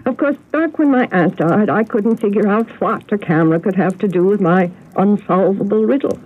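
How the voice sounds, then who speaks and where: An actress playing a genteel, agitated older spinster telling family secrets in a radio mystery drama. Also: female voice, medium pitch, moderate pace, breathy edge, lightly acted. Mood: earnest, secretive. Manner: fretful, genteel, dramatic storytelling. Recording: narrow, noisy old radio broadcast recording.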